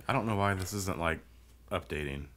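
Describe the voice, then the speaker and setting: Low, bright-voiced laughing co-host man. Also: expressive, a little slow, slight background noise. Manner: laughs often, loose and jokey. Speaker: podcast co-host. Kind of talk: casual podcast chat.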